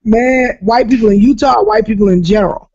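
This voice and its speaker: A woman from Jacksonville, Florida with a raspy voice, telling profane stories in casual chat as a comedian guest, often laughing loudly. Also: a little high, fairly quick. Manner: brash, profane storytelling with loud laughter. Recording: dull lo-fi podcast audio.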